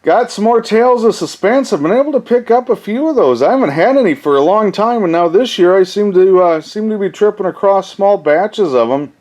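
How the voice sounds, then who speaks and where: A man's voice: sits high, animated, a little fast, hardly any pauses, darkish tone. A middle-aged man, talking in a folksy, rambling, informal way as he casually shows off collectibles. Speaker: collector and seller showing his flea market finds. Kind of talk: casual show-and-tell vlog.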